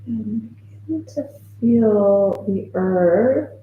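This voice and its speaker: A woman leading a guided yoga nidra meditation as a teacher, speaking in a soothing, gentle way with long, unhurried pauses. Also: medium pitch, animated, phone-quality sound. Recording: roomy echo with faint background noise.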